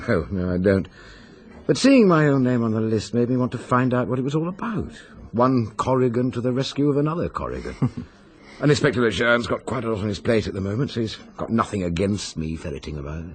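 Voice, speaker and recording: An actor with a British accent playing a keen, affable pathologist turned amateur sleuth in a radio drama. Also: male voice, mid-range voice, very animated, fairly quick, natural voice. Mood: wry, keen.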